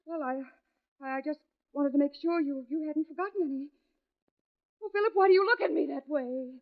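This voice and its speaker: High-voiced, haunted wife of vintage radio mystery. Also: female voice, a little fast. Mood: uneasy. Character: frightened, suspicious wife narrating her own dark tale. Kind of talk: 1940s radio mystery acting. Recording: dull old radio recording, music behind. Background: dramatic music.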